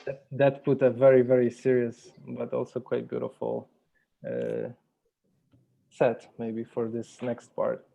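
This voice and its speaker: A man facilitating a workshop, speaking in a measured, deliberate way, like someone guiding a group through an exercise. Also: mid-range voice, gravelly, warm tone, muffled recording, a little hiss or hum. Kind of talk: guided meditation and workshop facilitation. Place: virtual workshop over video call.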